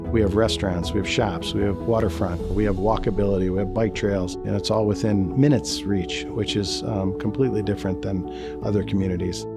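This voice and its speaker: A man's voice: on the low side, steady tone, fairly quick, gravelly. A man speaking for a college in a promotional video, listing its features with occasional fillers. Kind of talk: promotional talk. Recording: loud guitar music behind the voice.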